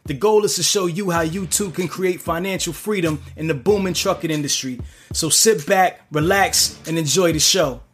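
A man hosting an interview podcast, talking in a relaxed, casual way with lots of fillers and restarts. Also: a little high, moderate pace, faint music behind. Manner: laid-back, many fillers and restarts. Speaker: podcast host.

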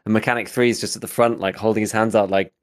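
A man with a lowish voice and a slight gravel co-hosts a casual gaming podcast. He rambles through his points, leaning on filler words as he goes. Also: fairly even intonation, quick pace. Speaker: podcast co-host, gaming YouTuber. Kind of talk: casual chat.

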